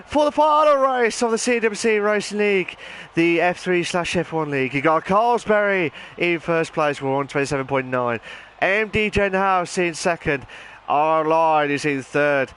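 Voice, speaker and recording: A man hosting and commentating an online racing league, his voice hoarse and nearly gone, talking in an excitable, chatty way. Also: on the high side, normal speed. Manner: excitable, shouting during qualifying, then calm chatty standings rundown. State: hoarse, lost voice. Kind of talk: live esports race commentary.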